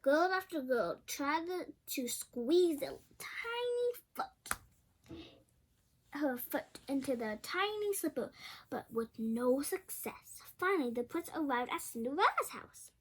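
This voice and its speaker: A female reader with a high voice tells a fairy tale out loud. Her intonation swings widely and expressively. She drops in chatty asides and trips over a word now and then. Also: slow pace. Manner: expressive, stumbles over words. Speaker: storybook reader.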